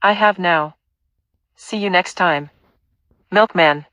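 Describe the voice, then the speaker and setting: A woman's voice: on the low side, takes it slowly, a bit bright, no echo. A woman playing a wry, foul-mouthed, blunt young heroine with a sardonic manner in an audio play. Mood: wry, relieved.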